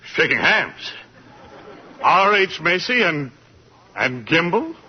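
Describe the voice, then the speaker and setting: A man's voice: on the high side, sing-song, takes it slowly. A man playing a bluff, hearty department store boss in 1940s radio style, blustery and businesslike. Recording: muffled vintage 1940s radio broadcast.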